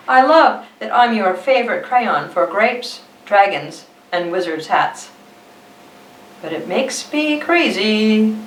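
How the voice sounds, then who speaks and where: A woman's voice: medium pitch, a bit bright, echoey room, distant mic, a little hiss or hum, rough recording. A woman voicing an elegant, fussy purple crayon from a storybook, primly polite with strained composure. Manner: prim, mannered, strained composure.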